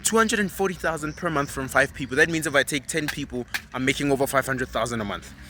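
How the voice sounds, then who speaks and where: A young man from South Africa, a vlogger talking in an animated, emphatic and persuasive way in casual motivational chat. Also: mid-range voice, fast talker, slight background noise. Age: 20s.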